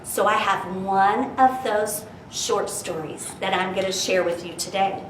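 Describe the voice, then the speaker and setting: A woman's voice: mid-range voice, measured pace. Roomy, distant woman hosting children's storytime. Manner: addresses children directly with questions and prompts. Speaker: library storytime host. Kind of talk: children's storytime talk and expressive read-aloud. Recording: roomy, distant mic with faint hiss.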